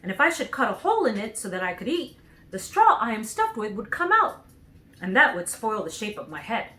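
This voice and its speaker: Woman playing an earnest, lively storybook scarecrow. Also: on the high side, fairly quick, exaggerated voice. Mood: matter-of-fact. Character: cheerful, naive straw scarecrow man, earnest storyteller.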